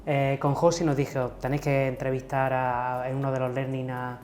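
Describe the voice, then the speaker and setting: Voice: medium pitch, flat delivery, brisk, a little mellow, a little hiss or hum. An interview host, opening and leading the talk in a chatty, informal way. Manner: chatty, informal, many fillers. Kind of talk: casual interview hosting.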